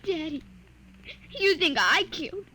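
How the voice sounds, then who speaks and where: Very high-voiced, plaintive little girl. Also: animated, unhurried, sharp tone, a little hiss or hum, full character voice, low-quality recording. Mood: upset, pleading. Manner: plaintive, childlike. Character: distressed nine-year-old girl, innocent and stubborn. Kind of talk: 1950s radio drama acting. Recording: vintage 1950s radio recording.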